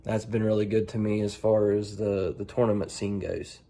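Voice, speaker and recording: A man speaking casually and haltingly as an angler answering a set of profile questions, restarting phrases as he goes. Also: on the low side, level tone, dark tone.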